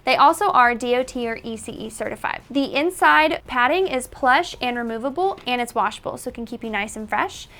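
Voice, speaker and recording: A woman's voice: a little high, a bit bright. A woman presenting a product tutorial in an instructional, step-by-step manner. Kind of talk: tutorial narration.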